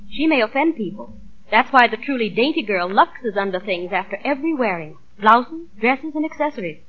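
Casting: vintage radio commercial spokeswoman, highly varied intonation, dull period recording. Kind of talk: scripted 1940s radio ad.

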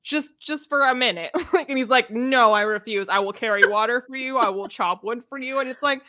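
A woman's voice: a little high, brisk, pushed and bright, no echo, studio quality. A woman co-hosting a book podcast in casual, slangy chat, acting out bits of dialogue as she retells stories. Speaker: podcast co-host discussing books.

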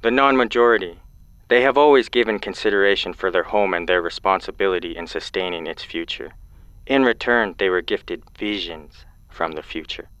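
A man playing a solemn, mysterious caller in an audio drama, speaking in a measured, cryptic and stern way. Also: sits low, a bit bright, smooth, dry recording. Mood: stern, ominous.

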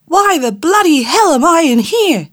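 A woman's voice: mid-range voice, sing-song, moderate pace, pitched above the performer's own voice. A woman voicing a tiny rude immortal fairy, crude and impatient. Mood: cranky, cheeky.

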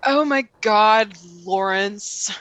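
A woman's voice: mid-range voice, very slow, fairly bright tone, no echo. A woman playing an envious, disgruntled student who craves heroism, dry and exasperated in an improvised comedy sketch.

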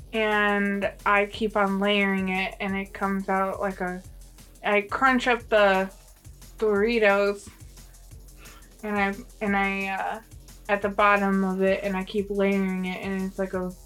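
A woman's voice: medium pitch, level tone, slow, fairly bright tone, smooth, faint music behind. A woman co-host on a podcast, chatting casually and reading out announcements. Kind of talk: casual chat and read announcements.

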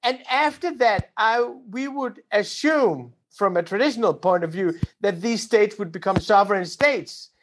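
Thoughtful academic interviewer, halting phrasing. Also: medium pitch. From Mauritania. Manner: thoughtful, hesitant, repeats words.

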